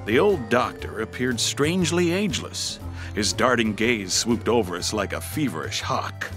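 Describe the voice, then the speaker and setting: A hardboiled but slow-witted private eye in a comic noir radio play. His deadpan wisecracks swing up and down in pitch. Music plays clearly behind him. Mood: wry, brooding. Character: maladjusted hardboiled male private detective, wry and slow on uptake. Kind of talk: comic noir audio drama, dialogue and narration.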